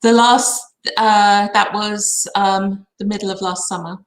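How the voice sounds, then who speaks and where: A woman's voice: medium pitch, fairly even intonation, unhurried. A middle-aged woman chatting casually about her own life as a guest on a live reading. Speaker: guest having her cards read. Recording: roomy, echoing sound.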